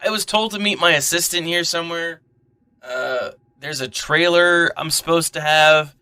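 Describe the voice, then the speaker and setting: A man's voice: on the high side, moderate pace, bright, forceful tone, clean tone. A man acting a pompous, fussy storyteller newcomer in an amateur comedy radio drama, wordy and grandiose. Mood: flustered.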